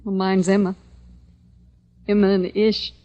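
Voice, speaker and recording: A woman's voice: mid-range voice, flat delivery, measured pace, a little mellow, lightly acted. An actress playing a self-possessed American woman survivor, speaking coolly and directly in the intimate dialogue style of old-time radio drama. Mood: wry, direct. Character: self-possessed woman survivor, plain-spoken, wryly inviting. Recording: muffled vintage radio recording.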